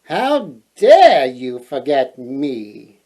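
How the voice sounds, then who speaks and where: A man's voice: medium pitch, wildly swooping pitch, extremely slow, dark tone, full character voice. A man voicing a powerful, vengeful female fairy from a storybook, dramatic and threatening. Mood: outraged.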